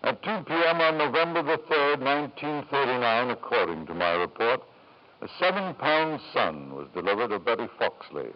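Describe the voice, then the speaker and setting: A man's voice: medium pitch, sing-song, normal speed, natural voice. Man acting a proud middle-aged lord, urbane, pressing, widely varied intonation, vintage radio drama, dull sound. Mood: insistent. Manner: urbane, insistent, repeats questions for emphasis. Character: proud, self-justifying titled father, coaxing and insistent.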